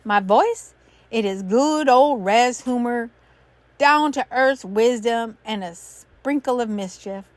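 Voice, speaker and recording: A woman's voice: fairly high pitch, slow pace, no echo. A woman playing a folksy, mischievous guide. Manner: folksy, twangy greeting.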